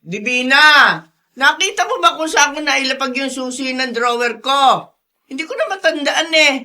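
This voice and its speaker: A woman's voice: high voice, normal speed, bright, forceful tone. A woman playing a fussy, caring older housekeeper in a Filipino radio drama, fretful and given to exclamations. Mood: flustered.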